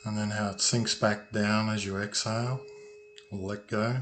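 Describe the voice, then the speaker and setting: Low, even-voiced man guiding a meditation. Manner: calm, unhurried, with long pauses between phrases. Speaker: meditation guide, sound healer. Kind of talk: guided meditation, slow soothing instruction. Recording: noisy recording with ringing tuning forks. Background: humming tuning forks.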